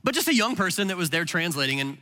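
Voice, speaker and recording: Middle-aged male pastor, quick and bright, casual storyteller with restarts, big reverberant hall. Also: high voice, sing-song. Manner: conversational, rambling with restarts. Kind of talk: sermon storytelling. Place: large hall with audience.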